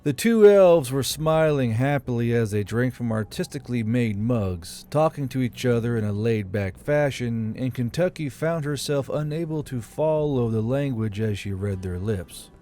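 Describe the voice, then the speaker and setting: Mellow male audiobook narrator. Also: mid-range voice, animated, normal speed, few pauses, a little hiss or hum.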